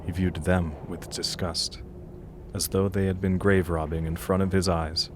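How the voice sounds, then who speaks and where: A man's voice: deep voice, flat delivery, a little slow, background noise. A man narrating an audio drama as a grave, solemn storyteller with an ominous manner.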